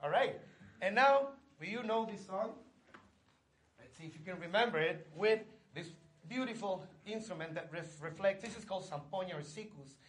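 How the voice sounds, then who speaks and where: High-voiced man leading a sing-along. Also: animated, moderate pace. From northern Chile. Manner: gentle, encouraging, loosely worded explanations. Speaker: community music leader and bandleader.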